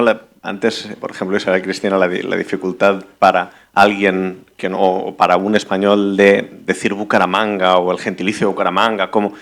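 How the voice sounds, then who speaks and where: A man from Catalonia moderating a literary panel, asking long questions with many restarts. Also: on the low side. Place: library event hall.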